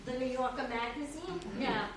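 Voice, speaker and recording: A woman reading the stage role of a flirty, joking, scatterbrained friend, with halting delivery. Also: high-pitched, natural voice. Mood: amused. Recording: echoey room, distant mic, noisy.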